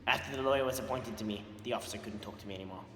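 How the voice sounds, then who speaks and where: A man's voice: on the low side, racing, bright, forceful tone, slight background noise. A young man in a student video playing a streetwise ex-con drug dealer who swaggers and swears casually. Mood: gruff. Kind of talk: student amateur video acting. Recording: echoey room, far from the mic, with music.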